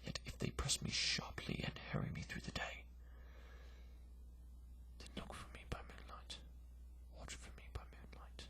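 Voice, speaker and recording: A man voicing a romantic, daring highwayman in a dramatic poem, in a hushed, intimate, breathy whisper. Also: measured pace, long pauses. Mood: tender vow. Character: romantic, daring highwayman murmuring to his sweetheart. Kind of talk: dramatic poetry recitation.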